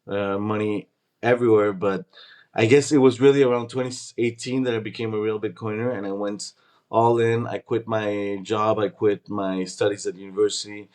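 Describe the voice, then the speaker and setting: A man's voice: lowish voice, steady tone, moderate pace, darkish tone. A man in his twenties, born in Peru and raised in Canada, talking casually as a startup founder being interviewed. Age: 20s.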